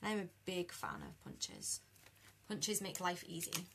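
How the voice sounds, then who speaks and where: A young British woman with a croaky voice chatting easily with live viewers while she colours at a craft desk. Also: on the low side, measured pace, noisy background. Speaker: card-making demonstrator. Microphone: overhead camera over a desk.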